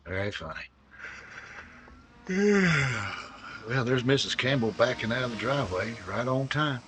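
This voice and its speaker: A man's voice: mid-range voice, lively intonation, a little slow, natural voice. Casting: male actor as a dry-humored cop partner, mid voice, tired drawl, noisy radio recording. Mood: weary. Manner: dry, wry and weary. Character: wisecracking police partner on a stakeout. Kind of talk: 1940s radio acting.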